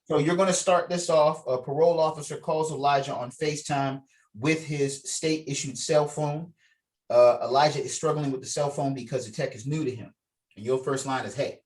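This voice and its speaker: A man's voice: a little high, steady tone, normal speed, darkish tone. A man leading a table read, mixing informal rehearsal talk with reading out stage directions. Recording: distant mic on online call.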